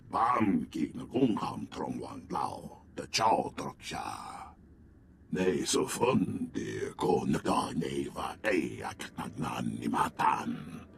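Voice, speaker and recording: Deep, gravelly, declamatory alien. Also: male voice, background noise, full character voice. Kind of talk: video game voice acting in invented language.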